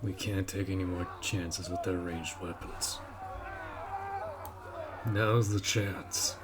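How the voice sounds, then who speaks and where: A man's voice: sits low, fairly even intonation, very noisy. A man playing a stern, honorable orc warrior commander in a fantasy audio roleplay, speaking in a formal, commanding way. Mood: urgent, gruff. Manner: formal, commanding, measured delivery.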